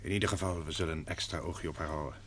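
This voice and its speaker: Very deep, brisk, grave doctor. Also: male voice, steady tone, fairly bright tone. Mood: grave, businesslike. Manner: brisk, formal. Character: grave, businesslike male hospital doctor. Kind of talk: old radio drama acting. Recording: noisy old radio recording.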